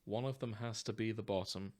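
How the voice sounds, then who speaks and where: A man's voice: fairly low pitch, fairly even intonation, a little fast, a little mellow. A man co-hosting a podcast in casual comedic chat, teasing and mock-solemn as he talks and reads aloud. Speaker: podcast co-host. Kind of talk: casual comedic chat with fan fiction readings.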